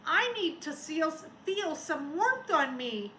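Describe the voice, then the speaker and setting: Casting: a woman as an exclamatory, shivery snowman for a children's storybook, childlike, swooping high, in a roomy space. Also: big pitch swings, slow pace, pushed and bright, exaggerated voice. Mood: shivering. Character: childlike, shivery, cheerful snowman who keeps melting. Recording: roomy echo, faint background noise.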